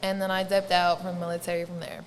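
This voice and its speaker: A woman's voice: lowish voice, monotone, quick pace, long reverb tail. Dry-spoken young woman podcast guest. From Colombia, raised in Spain and New York. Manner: brief, dry, matter-of-fact answers. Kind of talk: casual interview chat.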